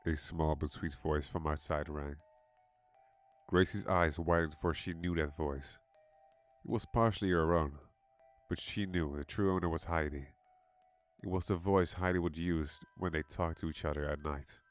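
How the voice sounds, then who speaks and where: A man narrating a scripted horror story in a measured, dramatic manner. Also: very deep voice, fairly even intonation. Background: background music.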